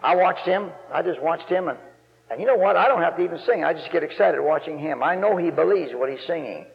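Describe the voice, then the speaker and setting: Gravelly-voiced preacher in a reverberant church. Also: male voice, animated, brisk. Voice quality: gravelly bass voice. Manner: folksy and rambling, rising to loud emphatic preaching. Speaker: guest preacher. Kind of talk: sermon. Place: church sanctuary. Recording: muffled recording in a long-reverb church.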